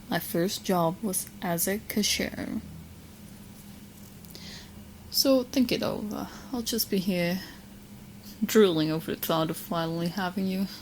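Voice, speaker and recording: Woman acting a low-voiced, lovesick yandere witch. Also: a little hiss or hum, rough recording. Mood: playful, smug. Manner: teasing, smug, coy, with halting asides. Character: sweet, romantically obsessed young witch girlfriend, playfully possessive. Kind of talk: scripted girlfriend roleplay ASMR.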